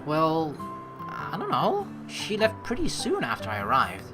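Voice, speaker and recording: A woman's voice: low voice, huge pitch swings, unhurried. A woman doing casual let's play commentary, rambling as she thinks aloud and reading game text, with some casual swearing. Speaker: let's player. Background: game music.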